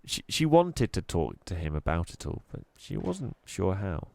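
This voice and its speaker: A man narrating a children's storybook expressively, with deliberate, dramatic emphasis. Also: low voice, big pitch swings, creaky, a little mellow. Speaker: storybook reader.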